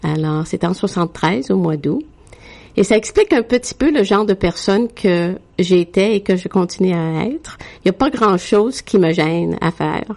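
Interview guest: woman, 60s, lowish gravelly voice, hesitant storyteller. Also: animated, darkish tone. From Quebec. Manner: frequent hesitations with "euh", unhurried. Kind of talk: interview, telling her life story.

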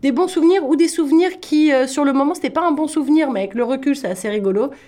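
A woman's voice: sits high, fast, warm tone. A woman hosting a casual interview, asking friendly questions that prompt her guest to talk. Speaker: host.

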